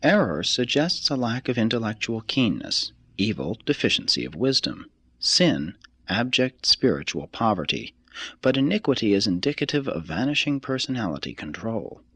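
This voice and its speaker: A man reads a religious text aloud as scripted narration, in a husky voice with a measured, formal and deliberate delivery. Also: medium pitch, a bit bright, no echo. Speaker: audiobook reader.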